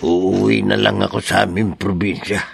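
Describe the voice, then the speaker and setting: An actor playing a weary, fearful old cemetery cleaner in a radio drama, with a gravelly, husky voice. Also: male voice, lowish voice, flat delivery, normal speed. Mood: weary resignation.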